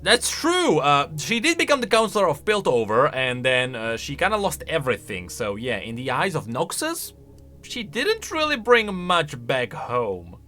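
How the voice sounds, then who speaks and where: A man's voice: on the high side, huge pitch swings, normal speed, bright, forceful tone. A man giving casual, conversational video game lore commentary, thinking aloud and posing questions. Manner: conversational, speculative, rhetorical questions. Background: faint music.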